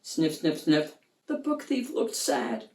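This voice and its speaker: A woman reading a rhyming picture book aloud for children, in an expressive, sing-song way. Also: fairly low pitch, takes it slowly. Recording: roomy echo, distant mic.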